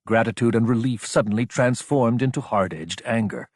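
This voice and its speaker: Male narrator for action audiobook, mid-pitched, dramatic scripted read, very dry recording. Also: moderate pace, darkish tone, no room sound.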